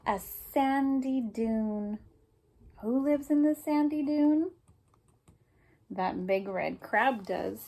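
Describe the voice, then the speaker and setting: A woman's voice: a little high, a little mellow. A woman teacher reading a picture book aloud to young children, explaining simply and prompting them with questions.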